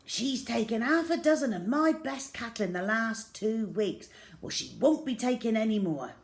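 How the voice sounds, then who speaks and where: A woman voicing a stern, blustering farmer father in a children's story, forceful and emphatic. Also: fairly high pitch, animated, normal speed, creaky, voice pushed up. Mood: triumphant.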